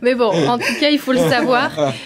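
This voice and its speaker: A woman moderating a television panel in a polite, guiding manner. Her voice is somewhat higher than average, and she keeps her questions short and neat. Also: big pitch swings, moderate pace, crowd noise. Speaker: TV host. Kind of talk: hosting a studio discussion.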